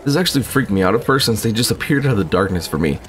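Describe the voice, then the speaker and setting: A man's voice: fairly low pitch, expressive, very fast, slight background noise. A man reading a scripted video game walkthrough in a plain, instructional way. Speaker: gaming guide narrator. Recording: faint game ambience behind voice-over.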